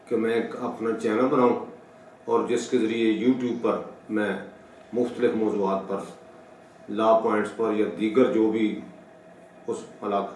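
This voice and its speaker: Echoey, low-voiced man lecturing. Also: darkish tone, a little hiss or hum. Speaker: law lecturer. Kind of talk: lecture for an online channel. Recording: very echoey room, distant microphone.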